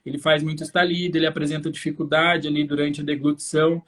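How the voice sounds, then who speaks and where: A man's voice: a little high, little pitch movement, fast. A man with a Brazilian accent hosting a live educational talk, explaining fluently and speaking directly to parents as a practitioner. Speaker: host, infant osteopath.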